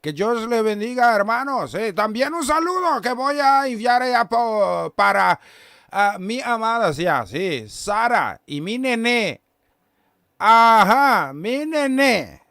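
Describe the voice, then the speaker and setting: Man, radio host from Curaçao, Papiamentu native, high voice, casual greetings. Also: fairly bright tone, no echo, clean studio sound. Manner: halting, switches between languages, sends blessings. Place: radio studio.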